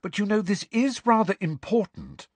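A man reads as a bossy, earnest teenage girl who speaks briskly and tartly, her tone lively and swinging. The voice is a little husky, in a dry, close recording. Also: sits high, dry recording, voice pushed up. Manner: brisk, impatient, tart.

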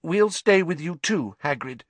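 Storybook schoolgirl played by a man: earnest and bossy, lifted pitch, lively swings, close dry sound. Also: normal speed, dry recording. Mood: hesitant, tender. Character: anxious, bossy, brave teenage schoolgirl.

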